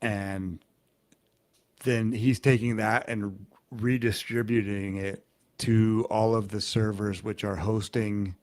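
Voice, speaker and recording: A low-voiced man co-hosting a podcast. He talks very slowly and explains at length, stopping and restarting often. The sound is dry, with no room echo. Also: little pitch movement, a little mellow. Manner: rambling, halting explanations. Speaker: podcast co-host. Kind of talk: casual chat.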